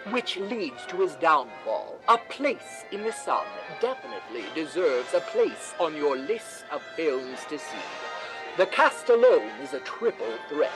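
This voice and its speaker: A man playing a polished 1950s radio announcer, formal and emphatic like a pitchman. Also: poor audio. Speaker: announcer and host.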